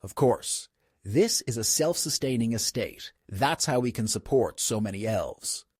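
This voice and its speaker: A man's voice: mid-range voice, lively intonation, a little slow, darkish tone, husky, no echo, studio quality. A man playing a proud, privileged but earnest young wizard boy in a comic dub. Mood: proud, casual.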